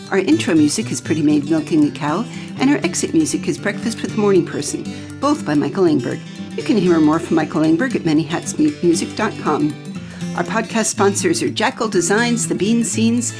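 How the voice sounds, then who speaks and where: A woman speaking as a podcast host, in an informal interviewing and announcing style. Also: fairly low pitch.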